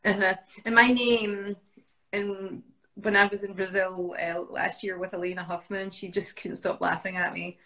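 A woman's voice: mid-range voice, little pitch movement, moderate pace, bright, forceful tone, poor audio. A woman in her forties from Scotland with a Scottish accent, chatting as a guest on a video call from home and reading out viewers' greetings. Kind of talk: casual video call chat. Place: home room indoors. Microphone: video call webcam.

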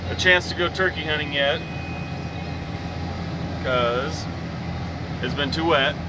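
A young man with a slightly high, scratchy voice chats casually from inside a tractor cab. He rambles in a laid-back, self-deprecating way. A steady engine and wind rumble sits clearly under his voice. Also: slow. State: scratchy voice from dust. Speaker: farmer vlogger. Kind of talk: casual vlog commentary. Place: tractor cab and open field. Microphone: cell phone, then external mic.

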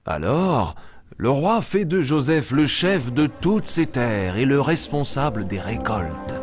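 Eager, animated young lion storyteller. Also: male voice, mid-range voice, takes it slowly, soft background music. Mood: storytelling. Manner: expressive, simple and clear telling. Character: eager young boy lion, cheerful storyteller of Bible tales. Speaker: storyteller of a children's show. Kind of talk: animated storytelling for small children.

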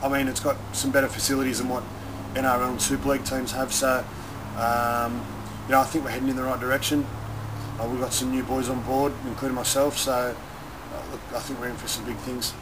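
A young Australian man, a rugby player, speaking casually and a little haltingly in an outdoor interview. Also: medium pitch, level tone. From Newcastle, Australia. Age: young adult. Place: open-air stadium stand by a running track. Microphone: camera mic a short distance away. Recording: loud outdoor wind and ambient noise.

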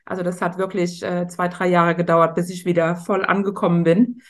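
A woman's voice: lowish voice, flat delivery, moderate pace. A middle-aged woman from Germany talking reflectively as a guest in a casual discussion. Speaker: guest, missionary NGO leader.

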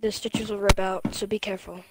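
A woman playing a bossy, caring nurse in an improvised zombie survival game roleplay, talking in a brisk, insistent way. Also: medium pitch, little pitch movement, heavy background noise, lightly acted.

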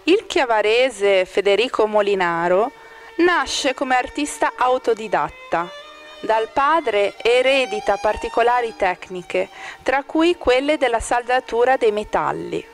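A woman with a fairly high voice reads a scripted commentary on an art exhibition. Her delivery is measured and formal, like a critic's text read aloud. A faint violin plays softly behind her. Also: expressive, faint music behind. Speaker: exhibition narrator.

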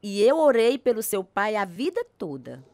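A woman with a Brazilian accent playing a gentle, devout grandmother of nearly a hundred in a radio drama. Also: medium pitch, big pitch swings, normal speed, over loud music.

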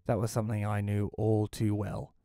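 A man's voice: on the low side, completely flat delivery, moderate pace, gravelly, mellow, no echo. A man playing a reclusive, wry widower, narrating an audio play in the first person in a dry, reflective way.

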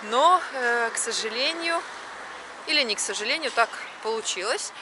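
A woman's voice: on the high side, expressive. A woman in her thirties talking to a handheld camera while walking, drawing out her words and stressing final syllables. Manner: chatty, drawn-out vowels, stress on last syllables. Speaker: vlogger. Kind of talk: walking vlog narration. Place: city street at night, outdoors. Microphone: action camera at arm's length. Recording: street noise outdoors.

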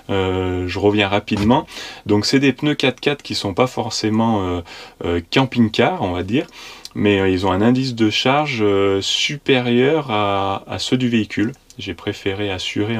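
A man in his thirties casually explaining his van conversion from inside the van, hesitant, with many fillers. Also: on the low side. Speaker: vanlife vlogger.

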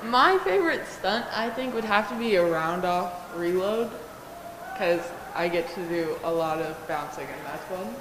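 A teenage cheerleader answering interview questions casually, giggling often. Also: female voice, lowish voice, normal speed. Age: teens. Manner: giggly, relaxed. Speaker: cheerleader guest. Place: large classroom. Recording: echoey hall with background noise.

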